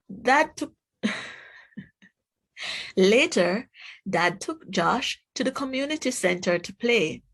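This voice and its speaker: A woman reading a children's storybook aloud in a deliberate, expressive way, speaking directly to young listeners. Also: medium pitch, breathy edge.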